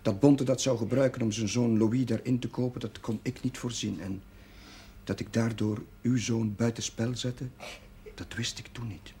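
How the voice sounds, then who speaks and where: Actor playing a creaky-voiced, remorseful chaplain. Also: male voice, medium pitch, steady tone, mellow, natural voice. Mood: earnest, defensive. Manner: formal, halting, solemn. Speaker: actor in a television drama. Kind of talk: 1970s Dutch television drama acting. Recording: noisy old television soundtrack.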